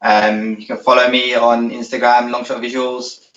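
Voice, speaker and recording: A man's voice: mid-range voice, flat delivery, normal speed, a bit bright. Roomy dull recording, man, London accent, casual chat, interview guest. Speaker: interview guest, dance instructor. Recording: roomy, distant, dull lo-fi audio.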